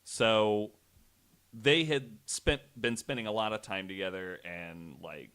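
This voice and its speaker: A man hosting a podcast, telling a story in a casual, halting, informal way with some swearing. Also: on the low side, a bit bright, clean tone. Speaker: podcast host.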